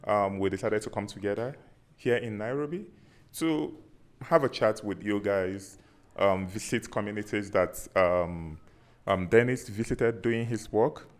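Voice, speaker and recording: A man from southeastern Nigeria introducing himself in a relaxed, friendly way, with many pauses and fillers. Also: lowish voice. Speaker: visiting speaker at a community meeting. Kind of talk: casual self-introduction.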